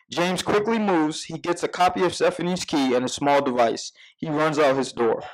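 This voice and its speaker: A man reading scripted stage directions as narrator at a live table read, measured with occasional stumbles. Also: fairly high pitch, rough recording.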